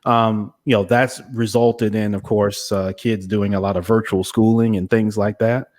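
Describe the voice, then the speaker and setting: A man hosting a conversational podcast interview, speaking in a measured, hesitant way as he works through his thoughts. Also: lowish voice, little pitch movement, a little mellow.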